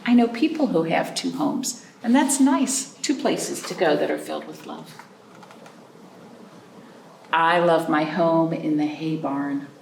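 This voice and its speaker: A woman with a lowish, gravelly-edged voice reads and chats to young children as a storytime host. Her delivery swings up and down in an animated way, with simple questions put to the listeners. It is recorded in a roomy space from a distant mic. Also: slow, a little hiss or hum. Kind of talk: picture-book reading and chat for young children.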